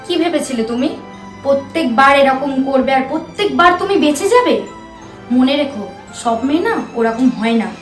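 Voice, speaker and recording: A woman's voice: sits high, animated, moderate pace. A woman playing an assertive, righteous wife in a short-film melodrama, with a firm and insistent manner. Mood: stern, threatening. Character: assertive, righteous wife confronting her cheating husband. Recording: roomy echo, faint background music.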